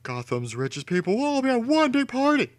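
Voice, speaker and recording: A man voicing an excitable criminal genius, scheming in a drawn-out theatrical way for a storybook reading. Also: very high-pitched, dry recording, voice pushed up, heavily acted. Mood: gleeful scheming.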